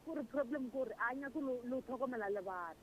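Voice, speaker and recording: A woman's voice: high-pitched, lively intonation, quick pace, fairly bright tone, slight background noise, low-quality recording. A South African woman calling in to a radio show by phone, speaking hesitantly as she searches for words. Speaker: caller. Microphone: phone line.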